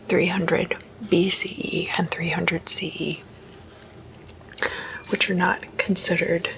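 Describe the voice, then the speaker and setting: A woman giving a whispered ASMR talk, explaining stories in a hushed, unhurried and informal way. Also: on the low side, vocal fry, rough recording. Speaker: host of a spooky ASMR series.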